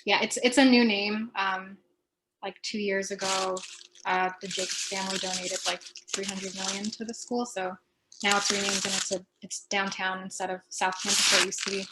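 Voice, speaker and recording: A woman's voice: medium pitch, level tone, normal speed, heavy background noise. A woman in her twenties, a medical student, introducing herself on a video call.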